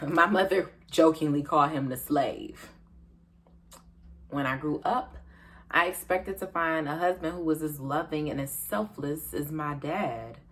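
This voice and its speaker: Woman acting aggrieved American wife, low-voiced, self-justifying. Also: a little slow, a bit bright. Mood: wistful, confiding. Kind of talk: comedic stage monologue.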